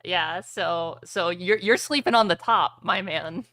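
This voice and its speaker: A woman's voice: fairly low pitch, measured pace, fairly bright tone, dry recording, clean studio sound. A woman acting as game master for a streamed tabletop roleplaying game, talking casually with gamer slang.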